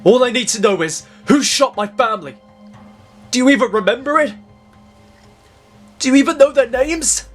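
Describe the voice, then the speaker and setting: A man's voice: extremely high pitch, expressive, normal speed, long pauses, fairly bright tone, soft background music, exaggerated voice. An actor playing a deranged, grief-stricken, vengeful gunman with a frantic, impassioned delivery in an audio drama. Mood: enraged, grieving.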